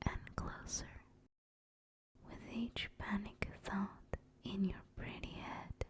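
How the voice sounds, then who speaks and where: Slow, breathy whispering woman. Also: medium pitch. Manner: slow, hushed, intimate. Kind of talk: whispered ASMR scripted narration.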